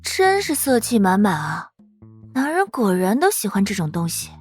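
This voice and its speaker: A woman's voice: medium pitch, very animated, moderate pace, a little mellow, husky, soft background music. A woman playing a cheeky, gossiping college roommate in a Chinese audio drama. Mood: teasing, scandalized.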